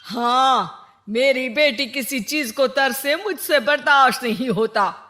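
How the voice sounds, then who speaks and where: Woman acting a bossy, slow-talking mother. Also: high voice, bright, forceful tone, heavily acted. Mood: stern, scolding. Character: bossy middle-aged mother, doting on her married daughter.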